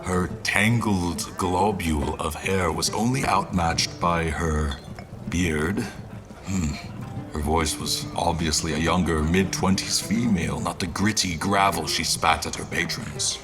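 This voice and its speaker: Fantasy audio drama narrator: male actor as a reflective historian, very low voice, literary and measured, music underneath. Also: fairly even intonation. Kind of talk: scripted first-person story narration.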